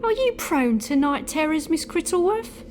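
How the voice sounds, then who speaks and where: Woman acting a high-pitched, cheeky servant. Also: very animated, moderate pace, a little hiss or hum. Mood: probing, frank. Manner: frank, teasing, probing. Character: brisk, cheeky, shrewd female servant, probing and frank. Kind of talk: audio drama acting.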